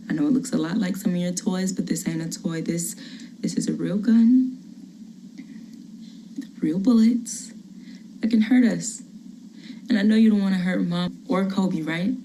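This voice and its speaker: An actress playing a desperate young mother who softly pleads with her small son to put down a gun, then turns angry, acting a monologue over a video call. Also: fairly high pitch, slight background noise, close to an everyday voice, poor audio. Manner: shifts from soft pleading to angry threats. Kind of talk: acted dramatic monologue.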